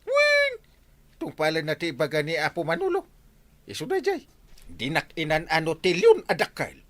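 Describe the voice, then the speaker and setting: An actor playing a nervous, excitable village captain who stammers and jumps in pitch. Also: male voice, normal speed. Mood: excited. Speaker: radio drama lead.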